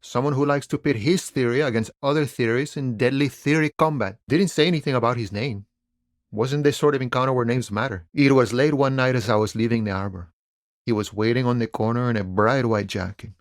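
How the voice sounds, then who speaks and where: An actor playing an easygoing, philosophical dock worker with cowboy airs in a video game, musing in an unhurried, folksy way. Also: male voice, medium pitch, no echo, studio quality, natural voice. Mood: amused, musing. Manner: unhurried, folksy musing, calls people friend and boya. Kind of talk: video game character dialogue.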